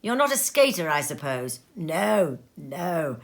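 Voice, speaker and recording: A woman voicing wealthy, important businessmen in a storybook reading, brisk, genteel and offhand. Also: deep voice, sing-song, creaky, exaggerated voice. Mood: brisk, indifferent. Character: wealthy, important businessmen exchanging clipped, polite small talk.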